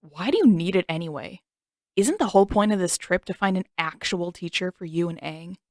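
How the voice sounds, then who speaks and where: A woman's voice: on the low side, animated, brisk, darkish tone, dry recording, studio quality. A woman voicing a sarcastic, bossy teenage boy in a read-aloud fan story, blunt and teasing. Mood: mocking.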